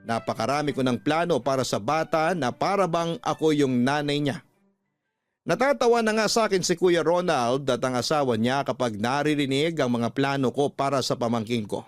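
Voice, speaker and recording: A man narrating as a radio story host, in a scripted, sentimental style with measured phrasing. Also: medium pitch, dry recording, faint music behind. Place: dry studio with soft music behind.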